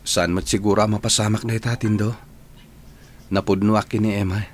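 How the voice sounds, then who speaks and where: A man's voice: on the low side, flat delivery, fairly quick, a little hiss or hum, close to an everyday voice. An actor with an Ilocano Filipino accent playing a lovestruck young male trainee in a radio drama. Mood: earnest.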